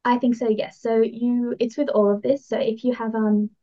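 A woman's voice: fairly high pitch, little pitch movement, moderate pace, mellow, lo-fi sound. A woman chatting casually as she explains astrology as a podcast guest, informal, enthusiastic and encouraging.

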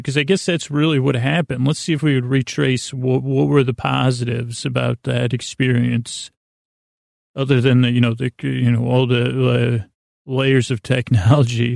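Male sleep-podcast host, creaky voice in a dry recording, halting self-deprecating rambles. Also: mid-range voice, dry recording. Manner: meandering, halting, circling back on himself; self-deprecating, gently absurd.

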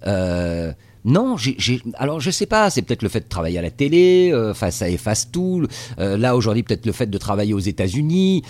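Lively hesitant man, dry close mic. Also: lowish voice, a little fast, no echo. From Tunisia. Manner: hesitant, many fillers and restarts. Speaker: TV journalist based in the US. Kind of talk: casual interview answers.

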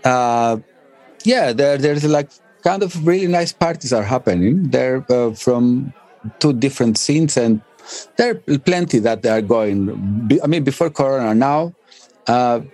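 A man, giving casual interview answers as a DJ in halting, English. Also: medium pitch, animated, darkish tone. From Albania and northern Greece. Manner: halting non-native English phrasing. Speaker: interview guest, DJ and music producer.

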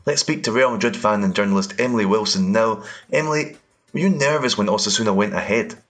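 Low-voiced Scottish football podcast host. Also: male voice, fast talker, a bit bright. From Scotland. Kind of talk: scripted football podcast narration.

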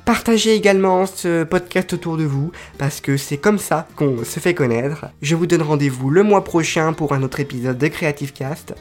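Chatty, hesitant male podcast host. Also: fairly high pitch. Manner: chatty, explains carefully with frequent hesitations. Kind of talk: solo informative podcast monologue.

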